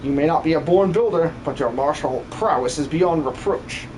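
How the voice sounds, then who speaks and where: A man acts out a formal old advisor from a fantasy game. He is pompous and wordy, with a stilted delivery. There is clear background noise on the recording. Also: on the high side, moderate pace, a little mellow, lightly acted, rough recording. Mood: solemn praise.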